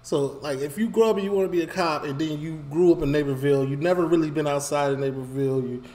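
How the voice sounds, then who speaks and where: A man talks a bit high for a man, working his thoughts out loud as he goes. He circles back, repeats phrases and gets animated. It sounds like a small room at home on a desk mic. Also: fast. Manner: animated, rambling, repeats phrases, gestures while talking. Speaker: reaction video host. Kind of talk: casual commentary.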